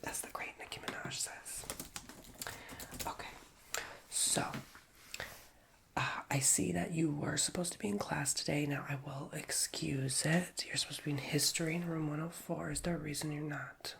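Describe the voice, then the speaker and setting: A man's voice: on the high side, steady tone, moderate pace, gravelly, fairly bright tone. Whispering nasal man, ASMR counselor. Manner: chatty, rambling, self-mocking. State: recovering from a cold. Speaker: school guidance counselor in a roleplay.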